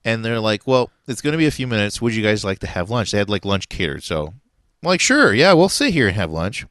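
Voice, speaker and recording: A man co-hosting a casual podcast, telling a story in a low, easygoing voice. He laughs often and stops now and then to search for a word. The sound is dry and close. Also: no echo. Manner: relaxed, laughs often, halting with fillers. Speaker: podcast co-host.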